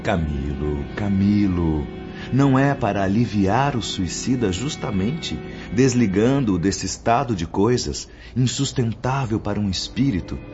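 A man's voice: on the low side, lively intonation, measured pace. A man playing a grave, patient spirit mentor in a radio drama, teaching in formal diction. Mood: patient, admonishing. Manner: solemn, didactic, formal diction. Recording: dull lo-fi audio under music.

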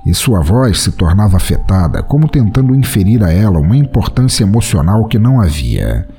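A man narrating a dark first-person short story for a podcast, brooding and bitter. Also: on the low side, big pitch swings, brisk.

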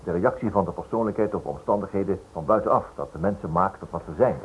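Guy acting a polite nosy writer in a radio play, lowish voice that swings around, keeps stumbling, bit of hiss. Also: quick pace, narrow, tinny audio, natural voice. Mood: earnest. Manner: hesitant, polite, stammering over words. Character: inquisitive, hesitant writer probing a criminal case. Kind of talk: Dutch radio drama acting. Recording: noisy old radio drama recording.

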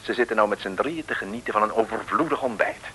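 A man's voice: fairly low pitch, fast talker, bright, forceful tone, slight background noise. A man narrating a 1950s radio serial, chattily addressing the listeners as a genial storyteller.

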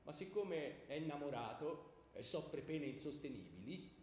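A man's voice: on the high side, sing-song, unhurried, darkish tone. A man playing an indulgent, easygoing king of the gods in comic radio theatre, colloquial, wheedling and excusing. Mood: exasperated. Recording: echoey hall with faint background noise.

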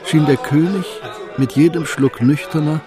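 A man's voice: a little high, steady tone, moderate pace. A man with a standard German accent narrating a scripted radio drama. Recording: music under the voice. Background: music.

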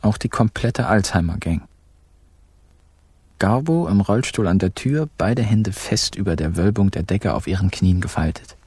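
An actor playing a laid-back, cheeky young man narrating a radio crime caper in deadpan slang. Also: low voice, moderate pace, breathy edge, no echo, clean studio sound. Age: young adult. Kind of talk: first-person radio crime drama narration.

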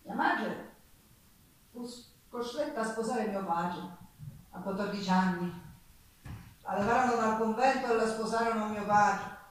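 A woman's voice: medium pitch, moderate pace, pauses often, a little hiss or hum, lightly acted. An actress playing a Sicilian working-class woman who recalls a hard, violent childhood in a theatrical stage monologue with a Sicilian accent. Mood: grave, bitter remembering. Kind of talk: theatrical monologue with folk singing. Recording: echoey stage, distant microphone. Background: live acoustic guitar.

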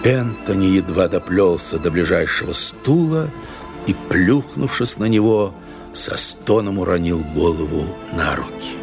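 A man narrates an audio drama from a script. His voice sits on the low side, and music is clearly heard under the narration. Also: expressive, measured pace.

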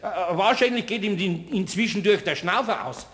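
Old bavarian craftsman in a radio play, highish voice, stammers n grumbles, long reverb. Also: normal speed, a bit bright. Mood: flustered, evasive. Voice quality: stammering when flustered. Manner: rambling, homely dialect speech. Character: flustered, good-hearted older male craftsman, grumbling and pleading. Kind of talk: German radio play acting.

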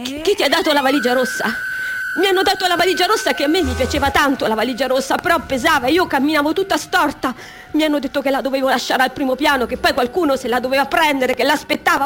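A woman's voice: high voice, a bit bright. A woman playing a naive, wounded teenage girl in a radio drama, rambling artlessly like a bewildered child. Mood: naive, anxious. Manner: breathless, rambling, childlike run-on telling.

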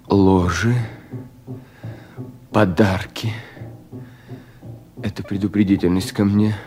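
An actor playing a despairing, bitterly mocking young provincial official in a theatrical radio drama, with long dragging pauses. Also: male voice, on the low side. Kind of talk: 1970s Russian radio drama acting.

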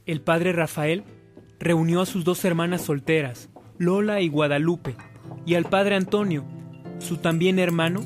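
A male narrator with a Mexican accent tells a scripted radio drama. His voice is fairly high for a man, and soft music plays behind it.

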